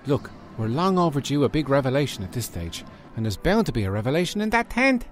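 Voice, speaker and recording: A man's voice: mid-range voice, big pitch swings, fast talker, soft background music, natural voice. A man playing an earnest, easily confused woman sidekick in a comedy radio serial. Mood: flustered. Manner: earnest, flustered.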